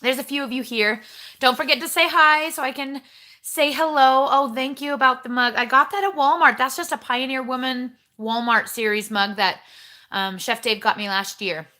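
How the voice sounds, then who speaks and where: A woman's voice: sits high, moderate pace, pushed and bright. Bubbly chatty woman livestream host. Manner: chatty, bubbly, reads out viewer names. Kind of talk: casual livestream chat. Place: home kitchen.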